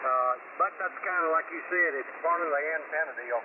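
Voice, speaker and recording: A man checking in as a ham radio operator, giving signal reports in a casual, somewhat halting way over the air. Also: a little high, recorded from a distance, narrow, tinny audio. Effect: heard over amateur radio. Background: loud static and hum.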